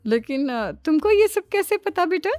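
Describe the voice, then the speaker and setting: A woman's voice: extremely high pitch, very animated, moderate pace, darkish tone, no echo, soft background music. A woman playing a proud, questioning middle-aged mother-in-law in a cartoon family drama. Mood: puzzled, questioning.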